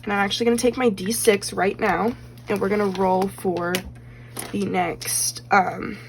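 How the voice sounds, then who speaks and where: A woman at a middle pitch talks loosely and chattily, filling her sentences with fillers and counting money out loud. Her voice is a little worn, as if she is starting to lose it. Faint clicking sounds can be heard in the background. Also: fairly even intonation, measured pace, gravelly, noisy background. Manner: chatty, rambling with many fillers; counts bills aloud. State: slightly losing her voice. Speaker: budgeting vlogger. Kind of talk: casual chat while cash stuffing.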